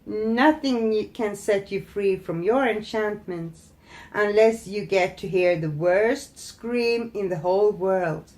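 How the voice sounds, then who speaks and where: A woman voicing a wicked, gloating old witch in a storybook tale, mocking and menacing, with coaxing drawn-out words. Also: medium pitch, a little mellow, lightly acted.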